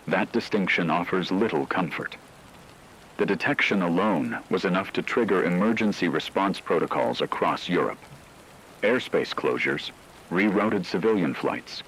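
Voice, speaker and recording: A man playing a solemn radio news correspondent, delivering a scripted emergency war broadcast in a measured, dramatic way. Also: sits low, a little hiss or hum, low-quality recording.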